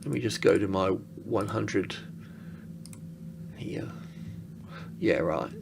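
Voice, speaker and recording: A man giving casual, unscripted screen-share commentary as an analyst, halting and thinking aloud as he goes. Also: low voice, creaky, noisy background. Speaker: market analyst presenting.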